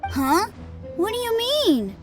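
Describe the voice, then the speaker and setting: Eager young boy for a game: high, very animated, innocent and boastful. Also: slow pace, soft background music, exaggerated voice. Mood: earnest, chatty. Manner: eager, innocent, self-important. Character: cheerful, boastful little boy playing martial arts master. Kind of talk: scripted video game dialogue.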